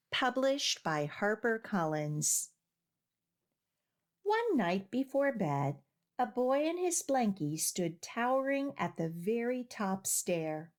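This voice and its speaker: A woman reads a picture book aloud for children in a medium-pitched voice. She is extremely unhurried, with lively swoops of intonation and deliberate, rhythmic phrasing. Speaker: children's storytime reader.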